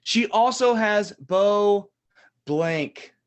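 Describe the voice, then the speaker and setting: A man's voice: high-pitched, lively intonation, drawn-out words, clean tone. A man hosting an improvised comedy game show, reading out questions and scores to the contestants.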